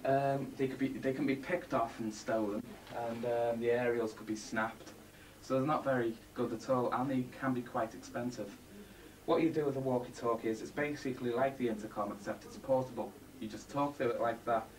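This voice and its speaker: A man presents a homemade project video in a matter-of-fact, rambling way, often stumbling and correcting himself as he explains. His voice sits at a medium pitch and is picked up from a little distance, with faint noise behind it. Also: steady tone. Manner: halting, rambling, matter-of-fact, blunt asides. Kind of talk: amateur presentation, half-scripted explaining. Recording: distant mic, faint background noise, amateur home video.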